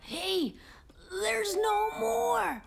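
A woman's voice: extremely high pitch, expressive, extremely slow, gravelly, heavily acted. A woman voicing a naive, plaintive small child in a children's cartoon, with simple delivery. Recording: loud music under the voice.

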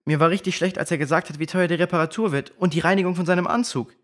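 A man's voice: high voice, very fast, fairly bright tone. A man playing an honest, contrite boy in a children's audio drama.

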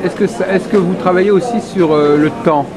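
A man asks long, rambling questions, feeling his way through lists of words. His voice sits a little high. Clear crowd chatter surrounds him in a busy fair hall, captured on camcorder. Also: brisk. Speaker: interviewer. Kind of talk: casual interview questions.

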